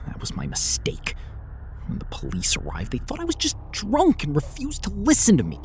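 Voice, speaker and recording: A man's voice: sits high, wildly swooping pitch, normal speed, background noise. A man narrating a first-person horror story, tense and often dropping to a whisper.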